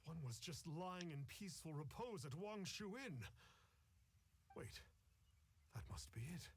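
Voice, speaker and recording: An actor playing a pompous, cowardly phony exorcist and gushing fanboy in a video game, speaking in theatrical, archaic phrases. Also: male voice, a little high, lively intonation, a little slow, husky, full character voice. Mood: pompous, haughty. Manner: theatrical, archaic grandiose speech.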